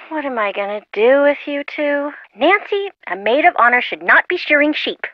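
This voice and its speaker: An actress playing a girly, sentimental young woman, expressive and fussy, chiding her friends through a telephone filter. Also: sits high, normal speed, dry recording. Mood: exasperated, sentimental. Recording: muffled, lo-fi phone-call sound.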